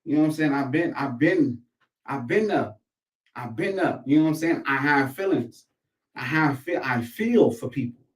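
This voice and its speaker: Echoey, slangy American man, higher-pitched voice. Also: expressive, moderate pace. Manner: slangy, profane, repeats phrases for emphasis. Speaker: host of a commentary show. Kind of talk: casual rambling commentary to camera. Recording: echoey room, distant microphone.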